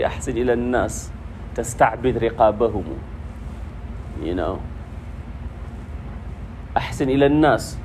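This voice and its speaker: A man preaching informally to a congregation, repeating phrases for emphasis. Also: mid-range voice. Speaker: preacher teaching hadith. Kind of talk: informal religious talk to a congregation, quoting Arabic. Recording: noisy room with steady background hum.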